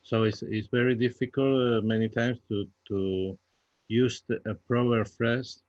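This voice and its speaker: A man with a Spanish accent speaking halting English, searching for words as he answers as a playwright in a Q&A. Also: mid-range voice, steady tone, a little mellow, no room sound, muffled recording. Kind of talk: Q&A discussion.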